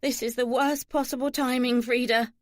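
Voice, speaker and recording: A woman's voice: on the high side, moderate pace, a little mellow, dry recording, clean studio sound, lightly acted. A woman voicing a loving, guarded older mother in an audiobook novel, speaking earnestly. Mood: exasperated.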